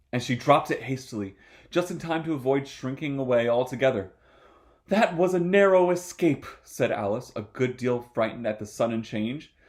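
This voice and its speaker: A man reading a children's story aloud expressively as a storyteller. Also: mid-range voice.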